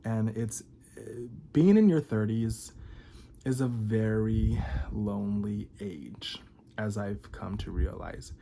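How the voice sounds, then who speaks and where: Man, early thirties, lowish voice, candid and rambling, lots of fillers, confessional vlog delivery. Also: takes it slowly, warm tone, slight background noise. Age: 30s. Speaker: vlogger. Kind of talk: confessional talk to camera.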